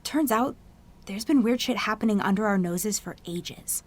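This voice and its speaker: A woman's voice: medium pitch, animated, normal speed, lightly acted. A woman playing a cheeky, boastful, nerdy teenage best friend in an audio drama, with flippant, teasing, slangy talk. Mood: boastful, animated.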